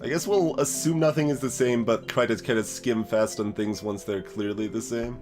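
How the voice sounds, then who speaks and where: A man's voice: medium pitch, steady tone, moderate pace, darkish tone. A man hosting a let's play with casual gameplay commentary, thinking aloud and often hesitating as he muses. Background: game music.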